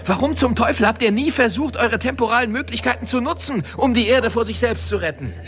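An actor playing a rebellious, sardonic earthling with a mission in a science fiction radio drama, speaking in a smug, theatrical manner. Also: male voice, very high-pitched, a little fast, a bit bright, soft background music. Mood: bitter, impassioned.

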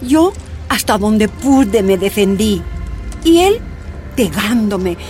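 An actress narrating as a miner's wife recounting her torture in a radio drama, grave and measured. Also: mid-range voice, expressive. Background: soft music.

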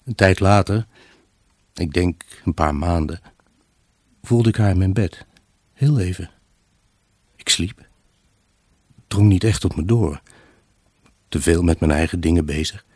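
An actor playing a lonely, grieving husband in an intimate radio drama monologue, brooding, halting and emotionally raw. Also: male voice, sits low, a little mellow, husky, dry recording. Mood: mournful, regretful. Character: grieving, haunted husband, lonely, obsessive and pleading. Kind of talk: Dutch radio drama monologue, intimate first-person narration.

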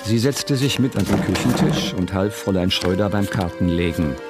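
Schauspieler als tiefer, trockener Erzähler mit Musik. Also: male voice, lowish voice, expressive, lightly acted. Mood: wry, descriptive. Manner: measured, dry, literary. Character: observant, wry male narrator of a literary memoir. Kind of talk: scripted narration in radio drama. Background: music.

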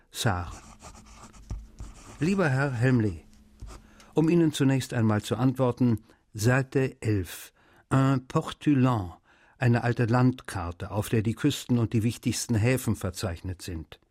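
Helpful French novelist, unhurried, dry close sound, precise letter reading, radio feature. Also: male voice, medium pitch, a little mellow, dry recording. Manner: deliberate, matter-of-fact, precise. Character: helpful, thorough male French novelist answering his translator's letter.

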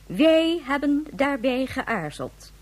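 A stern government leader in a radio drama. Her high voice moves widely in pitch, and her delivery is formal, sceptical and authoritative. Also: big pitch swings, slow pace, heavily acted. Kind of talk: Dutch radio drama acting.

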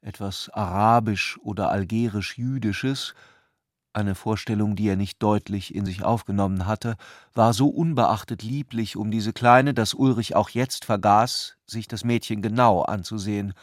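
A man reading literary prose as the narrator of a radio drama, in a scripted, measured literary style. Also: lowish voice, dry recording, clean studio sound.

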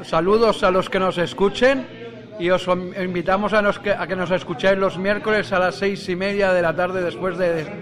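A man being interviewed casually about his radio show, talking haltingly and repeating phrases. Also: high voice.

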